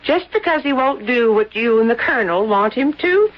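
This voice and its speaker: An actress playing a brisk, no-nonsense innkeeper in a radio drama, with a folksy, scolding way of talking. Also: female voice, a little high, lively intonation, lightly acted. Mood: bossy, scolding. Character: brisk, bossy, no-nonsense female innkeeper, incredulous. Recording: dull lo-fi radio recording, some noise.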